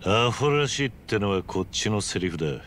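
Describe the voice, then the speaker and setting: A man playing a stoic, stubborn middle-aged ex-yakuza in a video game, speaking in a terse, gruff, guarded way. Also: on the low side, animated, normal speed, fairly bright tone, slight background noise. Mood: irritated. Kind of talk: dramatic video game cutscene acting.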